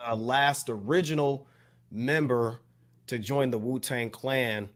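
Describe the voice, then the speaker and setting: A man's voice: mid-range voice, fairly even intonation, slow pace. A man co-hosting a podcast, talking casually and appreciatively about hip hop, often repeating words as he goes. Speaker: podcast co-host.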